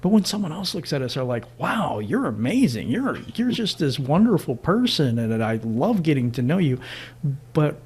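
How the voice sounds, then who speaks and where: Male host, husky, earnest and eager, casual chat. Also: on the high side, big pitch swings, moderate pace. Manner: earnest, enthusiastic, frequent fillers. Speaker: podcast host.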